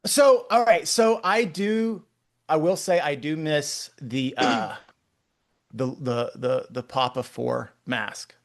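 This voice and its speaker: A man in his forties from Texas with a Texan accent, a guest artist chatting casually in an interview, rambling and restarting his sentences often. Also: on the high side. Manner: rambling, halting, many restarts.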